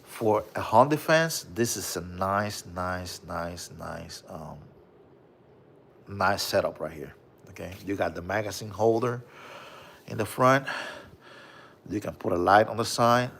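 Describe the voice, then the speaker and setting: A man with a Puerto Rican accent hosting a casual livestream, reading viewer comments and showing off his collection, with a relaxed manner and frequent "bro" and "okay?". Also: low voice, unhurried, vocal fry, long pauses, a little hiss or hum. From Puerto Rico. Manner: relaxed, repeats "bro" and "okay?", many fillers.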